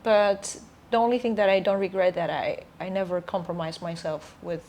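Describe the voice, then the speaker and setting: A woman's voice: on the low side, steady tone, moderate pace, darkish tone, slight background noise. Reflective Romanian woman, a soprano guest. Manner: reflective, hesitant, many fillers and restarts. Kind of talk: casual interview chat.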